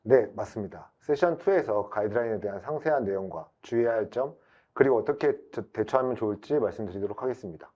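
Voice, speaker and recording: A man's voice: on the low side, animated, fast, warm tone, highs cut off. A man giving a formal, explanatory prepared webinar presentation as an IT specialist.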